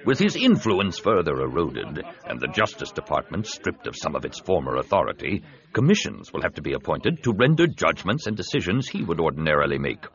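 A man voicing a big, scheming alien senator in an audiobook, with measured, persuasive delivery. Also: low-pitched, big pitch swings, muffled recording. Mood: firm, calculating. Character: scheming, soothing, heavyset male alien senator and host.

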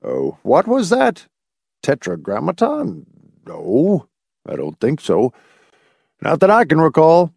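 A man's voice: mid-range voice, big pitch swings, measured pace, creaky, a little mellow, no room sound, studio quality, exaggerated voice. A man voicing a bewildered old male scientist in an audiobook, hesitant, stammering and genial. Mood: puzzled, obliging. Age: older.

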